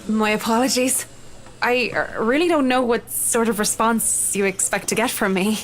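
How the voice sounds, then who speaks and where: A woman's voice: on the high side, moderate pace, noisy background, voice pushed up, close to an everyday voice. A woman voicing a polite, flustered professional woman in a visual novel, hesitant, formal and deferential. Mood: guarded. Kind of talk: visual novel character dialogue.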